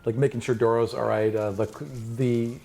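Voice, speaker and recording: A man's voice: medium pitch, level tone, moderate pace, vocal fry, dark tone. A man improvising narration as game master in a tabletop fantasy game.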